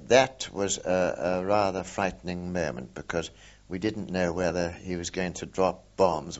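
An older man, a war veteran, recalling events in an oral history interview, hesitant with many pauses and fillers. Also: low-pitched. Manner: hesitant, many ums and uhs, measured. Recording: dull lo-fi recording.

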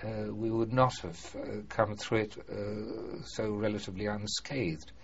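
An older man being interviewed about his past, speaking formally and hesitantly with many pauses and fillers. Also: on the low side, steady tone, gravelly, husky. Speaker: former prisoner of war, interviewee. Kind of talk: oral history interview. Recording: muffled archive recording with faint hiss.

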